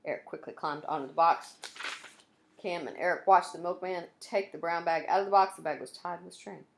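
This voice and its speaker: A woman's voice: lowish voice, fairly even intonation, a little fast, vocal fry, a little hiss or hum. A woman teacher reading a children's chapter book aloud, adding friendly asides to her young listeners. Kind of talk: children's read-aloud narration.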